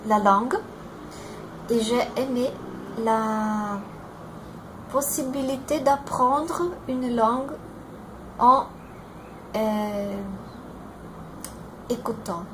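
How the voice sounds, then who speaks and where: Woman, twenties, Brazilian Portuguese accent, higher-pitched, hesitant with lots of fillers. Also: noisy background. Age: 20s. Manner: halting, searching for words, many fillers and restarts. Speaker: language learner vlogger. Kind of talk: self-introduction video in a learned language.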